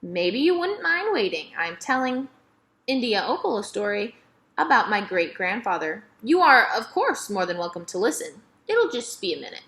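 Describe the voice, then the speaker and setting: A woman voicing a chatty, gracious elderly lady librarian from a storybook, with dramatic, drawn-out emphasis. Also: medium pitch, big pitch swings, fairly bright tone. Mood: politely firm.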